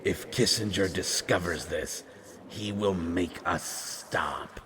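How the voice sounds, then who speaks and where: A man performs an eerie supernatural being from an audio drama, broken and speaking as many. The voice is very low and slow, parcelling out cryptic, formal fragments over clearly audible background noise. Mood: secretive, sorrowful. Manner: halting, cryptic, formal phrasing in short fragments. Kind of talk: scripted audio roleplay acting.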